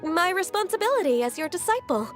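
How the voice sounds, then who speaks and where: A gentle, dutiful young woman speaks softly and politely with earnest care. Her voice is high and light. Quiet game music plays behind her. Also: very animated, fast. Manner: soft-spoken, polite and earnest. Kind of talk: video game voice acting.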